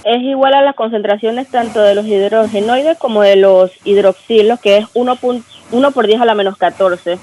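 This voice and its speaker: A man's voice: high-pitched, steady tone, normal speed, hardly any pauses, darkish tone, poor audio. Polite male student answering a teacher. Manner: polite, addresses teacher formally. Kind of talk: answering in online class.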